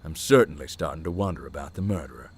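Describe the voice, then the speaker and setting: An actor voicing a calm young man who hunts ghosts, speaking in a dry, matter-of-fact way. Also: rumbling low, fast talker, husky, heavily acted. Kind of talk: visual novel voice acting.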